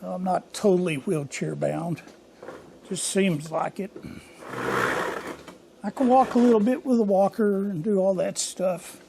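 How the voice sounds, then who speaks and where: Hoarse older male shop host, higher-pitched and gravelly, folksy and rambling. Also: unhurried, long pauses, noisy background. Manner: rambling, folksy and self-deprecating. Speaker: workshop channel host. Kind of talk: casual workshop vlog. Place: home machine shop.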